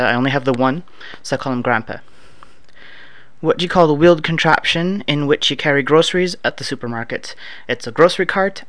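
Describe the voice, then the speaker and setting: A relaxed Canadian man in his thirties talks through a list of words and questions with an offhand, dry humor, dropping plenty of uhs. His voice sits at an ordinary pitch and comes across smooth and clear, with a dry, close sound and hardly any room around it. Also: no echo. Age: 30s. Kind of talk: casual accent-tag recording, reading prompts aloud. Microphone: no proper microphone, home setup.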